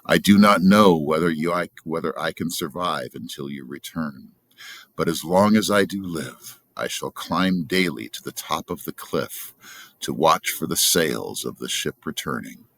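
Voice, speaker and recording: A solemn, loving old father from a storybook, voiced by a man reading. He speaks haltingly and sorrowfully in a very low, creaky voice. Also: a bit bright, heavily acted.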